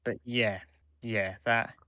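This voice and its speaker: Bright, forceful lower-voiced man chatting. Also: fairly even intonation, slow, no echo, studio quality. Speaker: podcast co-host. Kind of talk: casual fan review chat.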